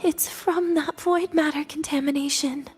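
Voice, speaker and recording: An actress playing a frail, guarded young student in a video game, speaking in a soft, halting, reserved way. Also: female voice, high voice, steady tone, soft background music, natural voice. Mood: worried, pleading. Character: frail, guarded girl student, quietly attached to her friend.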